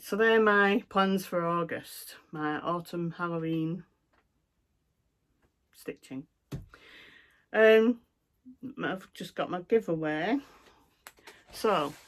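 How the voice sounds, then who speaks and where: A middle-aged woman with a broad Lancashire accent, chatting casually about her cross-stitch projects, with lots of ums and asides. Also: fairly low pitch. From North West England. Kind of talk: casual show-and-tell chat.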